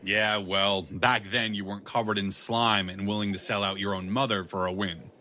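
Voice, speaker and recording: Weary male detective, played by an actor, noir audio drama: slightly low voice, wry, blunt, sarcastic. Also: level tone, normal speed, pushed and bright, slight background noise. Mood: scornful. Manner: sardonic, wry.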